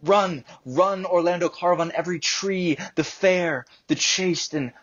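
A man's voice: high voice, a little slow, fairly bright tone. A man playing an earnest, lovestruck young nobleman reciting lyrically in a Shakespearean radio play, with a dull lo-fi sound. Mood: ardent, yearning. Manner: lyrical, declamatory.

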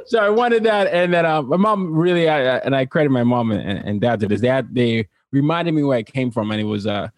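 A man from Nigeria, raised across several countries, speaking as an interview guest in casual, personal storytelling with an animated manner. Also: mid-range voice, a little fast, few pauses, no room sound. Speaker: interview guest, cultural translator and consultant.

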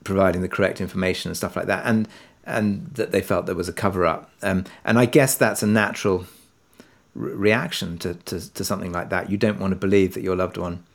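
A man with a fairly low voice talks in a reflective interview. He is a writer who feels his way through each thought, hesitant and tentative, often searching for the right word. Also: fairly even intonation, fairly quick, vocal fry. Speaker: interviewed writer of an audio drama.

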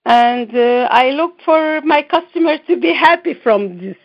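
A woman's voice: high-pitched, unhurried. A woman from the Middle East, a fashion designer speaking from home on a call, talking in chatty, non-native English and often laughing. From Middle East, born in Lebanon, raised partly in Israel. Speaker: fashion designer and brand owner, guest. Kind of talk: casual interview chat. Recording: slightly muffled lo-fi audio.

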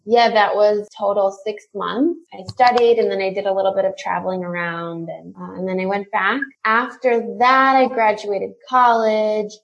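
A woman's voice: mid-range voice, normal speed, hardly any pauses, lo-fi sound, slight background noise. A woman, chatting casually as a podcast guest. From California, USA. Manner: relaxed, chatty, many fillers. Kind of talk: casual chat.

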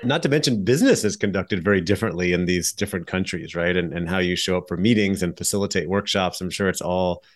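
A man hosts an interview in a relaxed, affirming manner, encouraging his guest. His voice is very deep. The sound is close and dry. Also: quick pace, few pauses, no echo. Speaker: interview host. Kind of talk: interview conversation.

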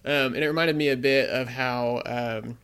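A man's voice: mid-range voice, steady tone, moderate pace, fairly bright tone, clear, resonant tone. A man on a podcast panel, talking casually in a fan discussion.

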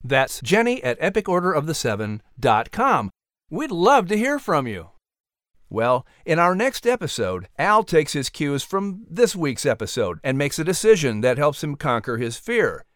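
A man narrating and announcing in a lively scripted storytelling style for children. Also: on the high side, normal speed, no echo, clean studio sound.

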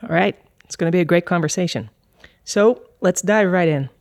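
A woman's voice: lowish voice, fairly quick, a little mellow, no room sound, clean studio sound. A woman hosting a podcast, with a polished, articulate delivery. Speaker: podcast host. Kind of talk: scripted podcast intro and moderating.

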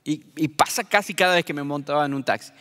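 Earnest Venezuelan-born preacher, fairly high voice. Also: male voice, fast talker, bright, forceful tone, in a large hall. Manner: earnest, addresses listeners as beloved. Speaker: pastor. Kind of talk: sermon.

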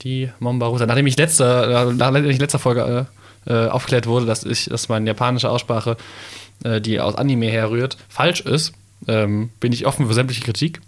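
A young man co-hosting a casual podcast, slowly and haltingly reading a bottle label aloud with many fillers and playful asides. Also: mid-range voice, steady tone. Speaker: podcast co-host.

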